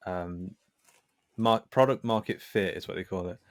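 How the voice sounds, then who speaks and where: Male podcast guest, lowish voice, close dry sound, candid and reflective, conversational. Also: normal speed, no room sound. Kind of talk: casual interview conversation.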